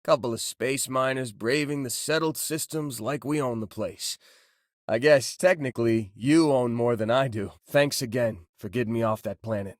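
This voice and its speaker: An actor playing an easygoing young space miner in a video game, with a casual, joking way of talking. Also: male voice, mid-range voice, moderate pace, no room sound, studio quality, close to an everyday voice. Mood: casual, wry. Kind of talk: video game companion voice lines.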